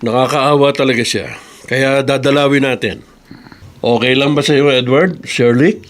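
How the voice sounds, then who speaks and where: A man acting as a kindly middle-aged boss in a radio drama. He speaks at a medium pitch, consoling and assured. Some noise and ambience sit behind him. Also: normal speed, natural voice. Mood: concerned. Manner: calm, consoling, authoritative.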